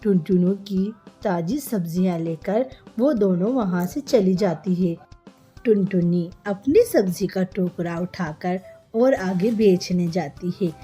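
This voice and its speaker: A woman's voice: mid-range voice, mellow. A woman narrating a scripted children's story as a storyteller.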